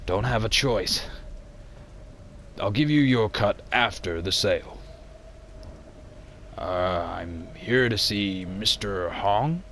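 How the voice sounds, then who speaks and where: A man's voice: fairly low pitch, slow, long pauses, a bit bright, a little hiss or hum. A man voicing an anxious, stubborn archaeologist with a hesitant manner in an amateur motion comic. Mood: wry, uneasy. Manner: hesitant, stammering. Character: anxious, stubborn male archaeologist, desperate for funding.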